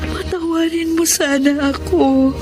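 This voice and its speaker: A contrite woman with a high voice and slow, drawn-out phrasing. Her delivery is plaintive radio melodrama, set over clear background music. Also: steady tone. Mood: remorseful. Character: remorseful older sister-in-law recovering in hospital. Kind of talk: melodramatic Filipino radio drama acting. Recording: dramatic music behind the voice.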